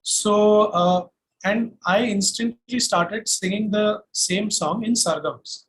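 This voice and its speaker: High-pitched young Indian man. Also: takes it slowly, poor audio. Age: young adult. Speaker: singer-songwriter guest. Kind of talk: casual interview conversation.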